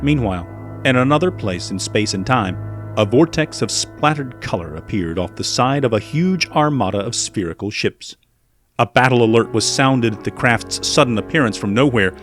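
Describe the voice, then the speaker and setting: A man's voice: medium pitch, lively intonation, moderate pace, fairly bright tone, faint music behind. A man reading scripted narration for an audio drama.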